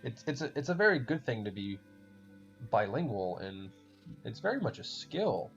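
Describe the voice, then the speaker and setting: A man's voice: mid-range voice, very animated, normal speed, gravelly. A man with a German accent chatting casually while playing a game, rambling, swearing and laughing often. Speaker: podcast host playing a game. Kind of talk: casual chat while gaming. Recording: dull lo-fi recording with faint music.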